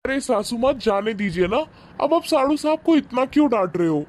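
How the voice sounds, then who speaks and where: A man playing a smug, boastful, wealthy son-in-law in an animated moral story, with a patronising, sneering manner. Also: extremely high pitch, a little fast. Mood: smugly mocking.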